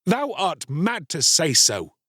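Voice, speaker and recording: A male narrator plays a proud young boy from a fairy tale. His pitch sits high and moves widely, and his words are archaic and formal. The recording is close and dry. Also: sing-song, moderate pace, no echo. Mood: haughty, scornful. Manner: archaic, formal storybook speech. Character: proud, scornful young boy, cruel then remorseful.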